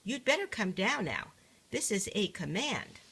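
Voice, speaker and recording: A woman voicing a regal, commanding storybook queen with a stately, measured delivery. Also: mid-range voice, big pitch swings, vocal fry, fairly bright tone, slightly rough, full character voice.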